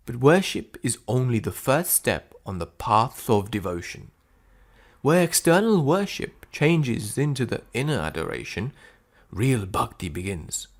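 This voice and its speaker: A man reading spiritual prose as an audiobook narrator, phrasing it deliberately with frequent pauses. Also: mid-range voice. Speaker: audiobook reader.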